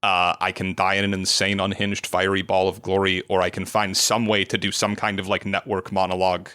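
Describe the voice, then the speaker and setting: A man's voice: low voice, flat delivery, a little fast, sharp tone, clean tone, no echo, studio quality. A man playing as a guest in a tabletop game, chatting loosely and casually. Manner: loose and chatty, with frequent fillers. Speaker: guest player.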